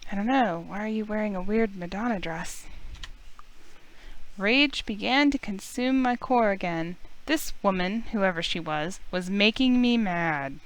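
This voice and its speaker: A woman's voice: medium pitch, a little slow, lightly acted, low-quality recording. A woman playing an indignant young human heroine who narrates a visual novel in the first person and voices her own defiant lines. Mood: startled, indignant. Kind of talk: first-person story narration with acted dialogue.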